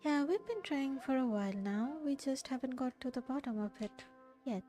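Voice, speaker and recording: A woman with an Indian accent streams a game in a casual, reactive style. Her voice is high, and game music plays faintly behind her. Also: lively intonation, moderate pace, warm tone.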